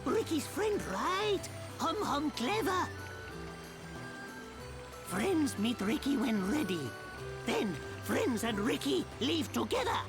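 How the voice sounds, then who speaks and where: High, bouncy furry little hero creature. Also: female voice, very animated, slow pace, long pauses, heavily acted. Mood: cheerful, eager. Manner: third-person broken speech, exclaiming. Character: small furry cheerful male creature, childlike eager village hero. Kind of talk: video game voice acting. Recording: music behind the voice.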